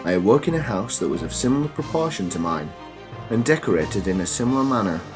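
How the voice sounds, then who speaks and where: Teenage boy hosting a podcast with a bunged-up nose from a cold and a lowish voice. Deadpan storytelling and rambling, hesitant chat. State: congested from a cold. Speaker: podcast host. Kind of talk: comedy storytelling and casual chat.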